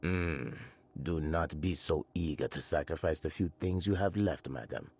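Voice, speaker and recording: An actor playing a guarded, courteous male shopkeeper in a video game, speaking in measured, formal, terse phrases. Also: a bass voice, a little mellow, husky, full character voice. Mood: guarded, stern. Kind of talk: video game character acting.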